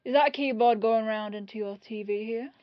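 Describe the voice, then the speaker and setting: A woman's voice: a little high, normal speed, no echo, lo-fi sound, lightly acted. A woman playing a humble, apologetic police detective in an ASMR roleplay, chatty and rambling in a disarmingly casual way. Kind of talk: ASMR roleplay audio drama.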